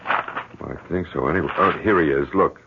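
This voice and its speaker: Gravelly, fretful middle-aged father. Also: extremely low pitch, narrow, tinny audio, noisy background. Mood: agitated, suspicious. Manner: halting, repeats and stumbles over words. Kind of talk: 1970s radio drama acting. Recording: thin, band-limited 1970s radio recording.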